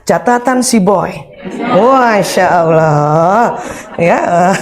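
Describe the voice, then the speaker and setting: A woman in her fifties giving a lively, humorous parenting seminar, chatting with her audience and quizzing them with questions. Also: mid-range voice. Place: seminar hall before an audience.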